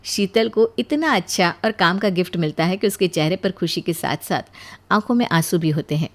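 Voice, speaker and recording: A woman narrating a scripted family story for an animated video. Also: lowish voice, moderate pace.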